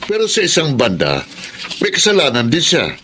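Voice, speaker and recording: A man's voice: fairly high pitch, wildly swooping pitch, normal speed, pushed and bright, slight background noise, heavily acted. An actor playing a teasing, reproving man who gives advice in a radio drama. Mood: amused. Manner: chuckling, admonishing. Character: teasing, reproving man advising a fortune-teller. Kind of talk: Tagalog radio drama acting.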